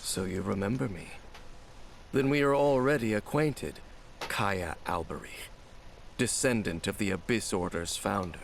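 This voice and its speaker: A man playing a grave, guarded wandering warrior in an anime game, speaking in a formal, measured, solemn way. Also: lowish voice, creaky, a little hiss or hum. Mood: grave, probing.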